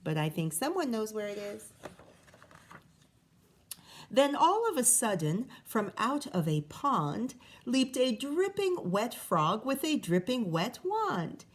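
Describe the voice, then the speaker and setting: A woman's voice: a little high, very animated, slow pace. A woman teacher reading a children's story aloud expressively, with explanatory asides and questions to her listeners.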